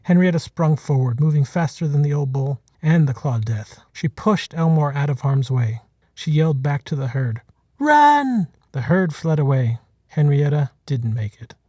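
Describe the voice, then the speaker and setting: A man narrates a short story in a measured, dramatic way. His voice is mellow and a little high for a man, recorded close and dry. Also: no room sound. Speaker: author reading his own short story.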